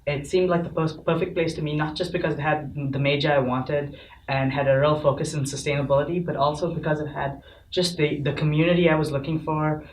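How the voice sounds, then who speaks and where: A man's voice: a little high, level tone, fairly quick. Upbeat young man in an echoey room. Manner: upbeat, friendly, with fillers. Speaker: host. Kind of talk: casual panel chat. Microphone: distant mic. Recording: echoey room, mic far off, faint noise.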